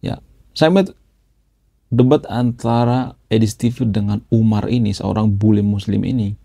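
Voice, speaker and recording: A man gives chatty commentary for his channel in a low voice. His tone is gravelly and dark. The sound is dry and close, and he keeps dropping a little "ya" between phrases. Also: dry recording. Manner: chatty, adds "ya" after nearly every phrase. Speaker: YouTube channel host. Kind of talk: casual commentary.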